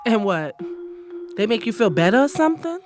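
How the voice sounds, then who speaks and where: A woman's voice: fairly high pitch, very animated, moderate pace, music in the background. A woman playing a hurt, scorned lover in an audio drama, telling it in first person with emphatic, sarcastic delivery. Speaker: narrator and lead character in an audio drama.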